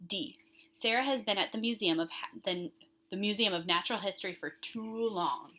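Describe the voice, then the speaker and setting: A woman's voice: medium pitch, moderate pace, sharp tone. Woman teacher reading questions to pupils. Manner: instructive, addresses children directly, emphasizes key words. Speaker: elementary school teacher.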